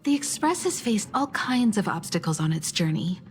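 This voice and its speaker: A woman's voice: mid-range voice, very animated, normal speed, faint music behind. A woman reading video game dialogue aloud.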